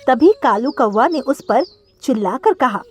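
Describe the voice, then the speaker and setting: A woman's voice: high-pitched, lively intonation, a little slow, soft background music. A woman narrating a scripted cartoon story as the storyteller.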